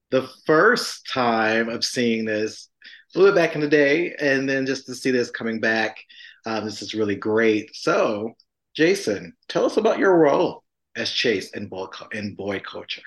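A man's voice: medium pitch, a bit bright. Giggly, enthusiastic male co-host. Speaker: podcast co-host. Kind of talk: casual interview.

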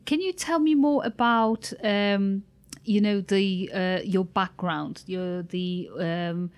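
A woman's voice: medium pitch, takes it slowly, clean tone. A woman interviewing in a conversational way, hesitantly searching for her words as she goes.

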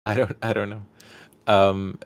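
A man with a fairly low voice hosts a panel. He speaks casually as he moves the questions along. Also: level tone, measured pace, slight background noise. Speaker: Q&A moderator. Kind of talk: casual hosting.

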